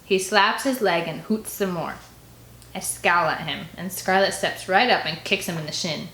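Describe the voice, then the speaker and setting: A woman narrating an audiobook in an expressive, first-person read-aloud style. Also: lowish voice, normal speed, a little hiss or hum. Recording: echoey, roomy sound.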